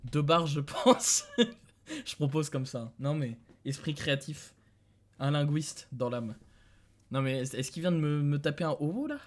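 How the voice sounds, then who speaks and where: A man's voice: on the high side, lively intonation, measured pace. A young male streamer from France talks through his session in a loose, casual way, often thinking aloud and breaking into chuckles. He speaks into a desk mic in a dim room at home, addressing his viewers as he goes. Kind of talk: casual live-stream commentary.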